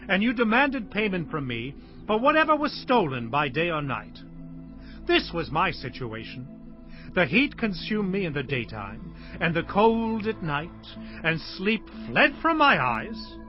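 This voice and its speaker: Biblical herdsman, impassioned, declamatory, widely swooping pitch, audio Bible drama, lo-fi sound. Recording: dull lo-fi audio with music behind.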